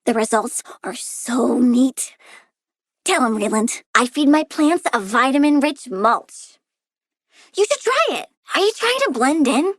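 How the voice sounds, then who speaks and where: High, girlish, bratty plant-girl supervillain. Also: measured pace, echoey room. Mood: bossy, pointed. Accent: American. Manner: girlish, expressive, blunt, flippant. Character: bratty, curious young female plant-human supervillain reborn as a girl. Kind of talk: animated video game voice acting.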